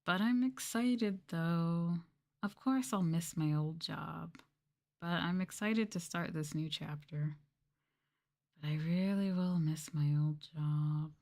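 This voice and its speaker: Low, soft-talking young woman streamer. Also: takes it slowly. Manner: candid, mildly sweary. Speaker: VTuber streamer. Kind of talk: soft-spoken ASMR casual chat.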